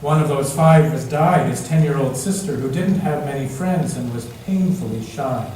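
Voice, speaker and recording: A man's voice: mid-range voice, a little mellow. Echoey, distant male storyteller reading aloud. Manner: dramatic, rhyme-savoring, with emphatic pauses. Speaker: storyteller reading a picture book at a church. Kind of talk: expressive read-aloud storytelling for all ages. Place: large indoor church space, heard from a distance. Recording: echoey church hall, distant mic, faint noise.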